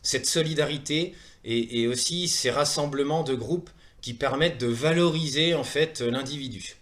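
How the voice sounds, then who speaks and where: A man in his thirties with an accent from France gives a semi-prepared presentation in an earnest, hesitant way, often pausing and repeating words. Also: medium pitch, fairly bright tone. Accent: French from France. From France, Saumur in Maine-et-Loire. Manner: earnest, hesitant, with frequent pauses and word repetitions. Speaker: presenter of a wellness training programme.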